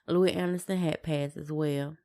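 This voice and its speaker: A woman's voice: low-pitched, steady tone, moderate pace, mellow. An American woman co-hosting a podcast, chatting casually with informal, somewhat hesitant phrasing. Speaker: podcast co-host. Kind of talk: casual conversational chat.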